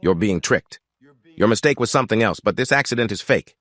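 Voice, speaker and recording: A man's voice: lowish voice, lively intonation, brisk, a bit bright, dry recording. A man voicing a stoic veteran medic, a protective father who speaks in a controlled, firm and commanding way. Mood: firm, insistent.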